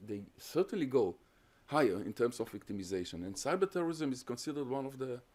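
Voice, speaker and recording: A man gives an academic talk in an even middle voice. He sets out his points one by one in a measured, explanatory way. He also takes questions from the audience. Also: mid-range voice. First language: Hungarian. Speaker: guest lecturer on terrorism research.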